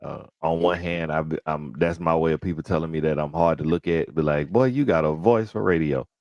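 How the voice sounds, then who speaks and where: A man hosting a podcast, speaking with careful, articulate diction and playful animated asides. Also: very deep voice, fairly quick, dry recording, muffled recording. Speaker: podcast host. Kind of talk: casual interview chat.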